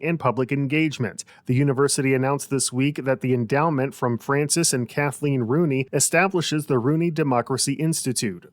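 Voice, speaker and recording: A man's voice: medium pitch, dry recording. An American man reading a scripted radio news bulletin as the anchor, close on a studio mic.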